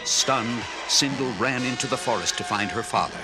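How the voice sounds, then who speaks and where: A man's voice: mid-range voice, moderate pace, over loud music, rough recording. A man narrating a children's story in a scripted, dramatic style.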